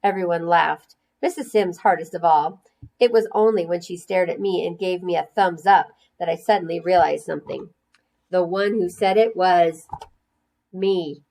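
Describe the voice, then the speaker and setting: A woman's voice: on the low side, moderate pace, a little mellow. A woman narrating a children's storybook expressively, as a storyteller reading aloud to young listeners.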